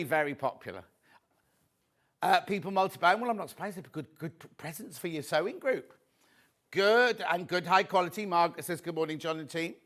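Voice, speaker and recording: Chatty guy hosting live tv shopping, kinda high voice, bit croaky. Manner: chatty, reads viewer messages aloud warmly. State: slightly croaky voice. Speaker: shopping channel host.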